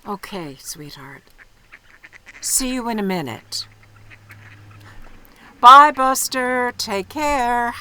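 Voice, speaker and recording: A woman's voice: fairly high pitch, lively intonation, drawn-out words, pauses often, pushed and bright, smooth, full character voice. A woman playing a doting older wife in an audio drama, affectionate and sweetly insistent. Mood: fond, coaxing. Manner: affectionate, coaxing.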